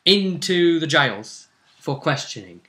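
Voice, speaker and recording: Bright-voiced man, casual game master. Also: a little high, expressive, slow. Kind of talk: casual recap of a tabletop game story.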